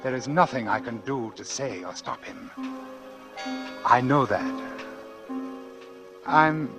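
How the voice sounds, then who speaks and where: An actor playing an uneasy, formal doctor with a hesitant manner, in 1940s radio style. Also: male voice, mid-range voice, animated, slow. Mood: grim resignation. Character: guilt-laden male doctor, nervous, scheming, doomed. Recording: old radio recording with faint music.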